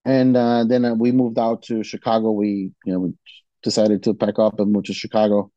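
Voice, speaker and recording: A man's voice: lowish voice, level tone, moderate pace, mellow, dry recording, highs cut off. A man from Mexico, a metal vocalist guesting on a podcast, chatting casually and swearing freely. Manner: laid-back, swears freely, says "dude" often.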